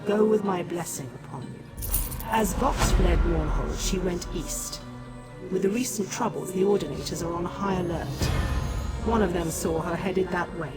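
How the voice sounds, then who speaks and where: A woman's voice: fairly low pitch, normal speed, close to an everyday voice. A woman playing a solemn, benevolent goddess ruler in a fantasy video game, speaking in a formal and stately manner. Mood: grave, instructive. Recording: loud music behind the voice.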